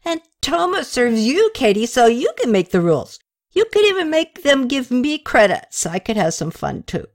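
A woman voicing a giddy, drunk young man who rambles and slurs with excitement. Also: sits high, moderate pace, voice pushed up. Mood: tipsy excited.

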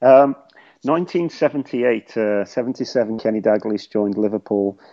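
A gravelly, mellow-voiced middle-aged man with an English Midlands accent, talking casually on a podcast. He rambles and circles back, full of ums and restarts. His audio is dull and lo-fi, like a remote call. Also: fairly low pitch, normal speed. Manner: rambling, frequent fillers and restarts. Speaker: podcast guest.

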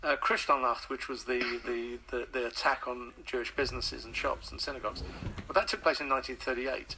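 A man being interviewed on talk radio as an author, with a mid-pitched, bright voice. He speaks thoughtfully and hesitantly, repeating words as he works out his point. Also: fairly even intonation, brisk, a little hiss or hum, poor audio.

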